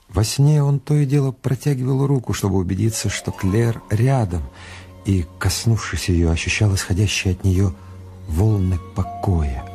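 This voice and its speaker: A man narrates a radio drama in a soft-edged, dark voice on the low side. The delivery is scripted storytelling, with faint music behind it.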